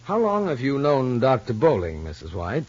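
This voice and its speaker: An actor playing a formal child psychologist in a radio soap opera, probing gently and deliberately. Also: male voice, medium pitch, huge pitch swings, breathy edge. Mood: calm, probing. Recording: muffled old radio recording.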